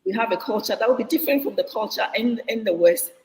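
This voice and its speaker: A woman's voice: on the high side, animated, fast, darkish tone. Earnest Ghanaian woman, echoey room. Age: middle-aged. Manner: earnest, reflective, with frequent restarts. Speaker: guest. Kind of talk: interview answer. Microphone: video call.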